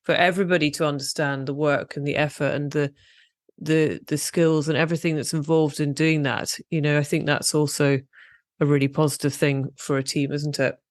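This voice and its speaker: A woman's voice: deep voice, flat delivery, fairly quick, dry recording, clean studio sound. A woman hosting a podcast and coaching presentation skills, talking in a friendly, encouraging way and prompting her guest with questions. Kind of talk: casual podcast conversation.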